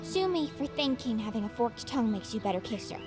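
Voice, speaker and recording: A woman's voice: on the high side, big pitch swings, brisk, over music. An actress playing a skeptical, downhearted young woman with dry wit and a reluctant manner, in audio fiction. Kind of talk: naturalistic audio fiction acting.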